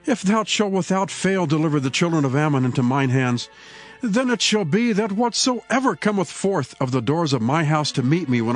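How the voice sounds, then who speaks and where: A man's voice: fairly high pitch, natural voice. A man playing an indignant, earnest ancient Israelite war leader, speaking in declamatory scriptural style for a dramatized Bible reading. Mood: earnest vow. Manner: declamatory, archaic scriptural oratory.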